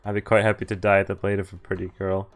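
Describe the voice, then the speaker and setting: A man's voice: low-pitched, completely flat delivery, quick pace. A man streaming a visual novel, reading the text aloud and dropping in casual, mildly sweary asides. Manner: reacts with laughs and mild swearing between read lines. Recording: music behind the voice.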